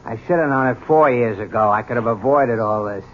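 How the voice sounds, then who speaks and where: A man's voice: mid-range voice, a little fast, natural voice. Man playing a brash, sneering gambler, lo-fi radio. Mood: accusing, spiteful. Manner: brash, slangy, sarcastic. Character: lazy, insolent gambler business partner, spiteful and sneering. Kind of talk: 1970s radio drama acting. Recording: dull, noisy old radio broadcast recording.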